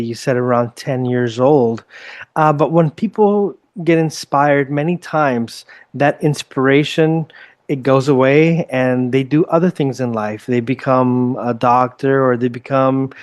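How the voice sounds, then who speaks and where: A man's voice: medium pitch, dry recording. A man hosting an interview, asking long, wordy questions with frequent fillers. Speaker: podcast host.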